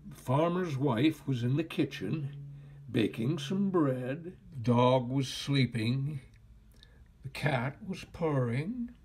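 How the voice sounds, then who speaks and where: An older man reading a children's story aloud in an expressive, deliberate, dramatic way. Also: medium pitch, husky. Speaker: storyteller, actor.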